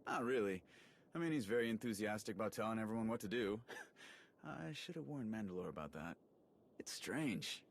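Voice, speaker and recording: Casting: friendly sci-fi game slicer, chatty and wry, animated delivery on the lower side. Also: male voice, moderate pace, gravelly. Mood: casual, fond. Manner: casual, wry, rambling with asides. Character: eager, chatty male soldier and tech slicer, friendly and quippy. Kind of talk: video game cutscene acting.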